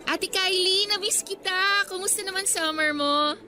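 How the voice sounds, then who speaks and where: A woman's voice: extremely high pitch, moderate pace, sharp tone, full character voice. A woman playing a chirpy, eager teenage schoolgirl in a scripted radio drama.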